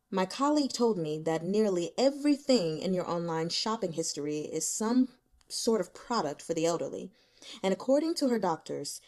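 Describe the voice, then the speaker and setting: Woman reading as a measured, probing male investigator. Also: lowish voice, darkish tone, natural voice. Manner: measured, insistent, interrogating. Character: probing, stern male investigator boss, stubbled and overworked.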